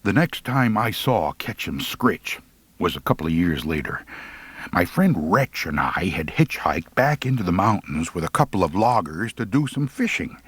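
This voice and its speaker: An American man reads a humorous tale as an audiobook narrator. His voice sits fairly low but moves with lively, expressive intonation, recorded dry and close in a folksy storytelling style. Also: moderate pace, breathy edge, no echo. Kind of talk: humorous scripted first-person narration.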